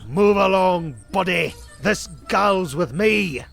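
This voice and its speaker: Casting: male actor as gruff young duck prospector, proud and bossy, highish animated voice, cartoon dub over music. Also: slow pace, exaggerated voice. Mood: tough, matter-of-fact. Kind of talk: fan comic dub voice acting.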